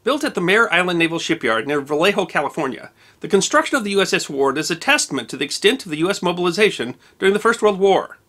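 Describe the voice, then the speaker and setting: A man narrating a scripted history documentary, measured and precise with dates and figures. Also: sits high, big pitch swings, fairly bright tone.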